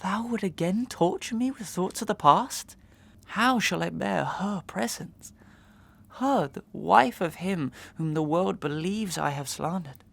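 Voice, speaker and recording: A man playing an anguished, wronged gentleman in a Victorian melodrama, declaiming in archaic, impassioned speech. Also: high voice, lively intonation, measured pace, vocal fry, no echo, heavily acted. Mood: outraged anguish. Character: anguished, wronged young gentleman branded a liar and slanderer. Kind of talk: Victorian melodrama audio acting.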